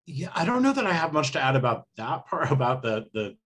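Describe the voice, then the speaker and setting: A man's voice: a little high, very animated, a little fast, fairly bright tone. A middle-aged man talking in a rambling, reflective way as a guest on a video call panel. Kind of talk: casual panel discussion. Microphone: video call microphone.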